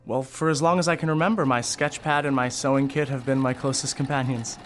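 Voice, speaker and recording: An actor playing a candid, wry theatre costume designer and Vietnam veteran in an audio drama, telling things in a measured, reflective way. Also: male voice, mid-range voice, steady tone, lightly acted. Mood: matter-of-fact. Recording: music behind the voice.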